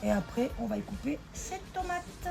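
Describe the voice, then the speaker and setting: A woman talks through a recipe in a chatty, familiar way, her voice hoarse and gravelly. She keeps the viewer close with pet names, and music plays behind her. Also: on the high side, expressive, normal speed. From northern France. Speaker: home cook. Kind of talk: casual cooking vlog chat. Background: background music.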